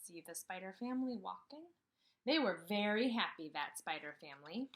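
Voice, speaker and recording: Expressive woman teaching young children. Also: mid-range voice. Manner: questioning, pausing for children to answer; simple, deliberate, repetitive phrasing for small children. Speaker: kindergarten teacher. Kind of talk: read-aloud lesson for young children.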